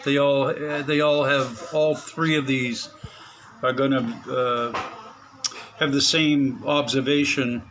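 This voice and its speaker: A middle-aged man at a mid pitch talks casually through his hobby radio repair. He halts often, fills gaps and chuckles softly to himself. Faint room sound sits behind him in the small spare room. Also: flat delivery, noisy background. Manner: halting, many fillers, chuckles to himself. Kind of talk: casual hands-on narration.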